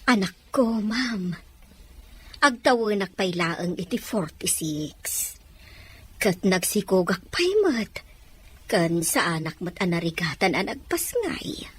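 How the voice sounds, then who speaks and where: Middle-aged mother, slow and husky, pleading and deferential drama role, played by a woman. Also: mid-range voice, very animated, heavily acted. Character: pleading 46-year-old mother giving up her baby. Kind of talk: Ilocano audio drama acting.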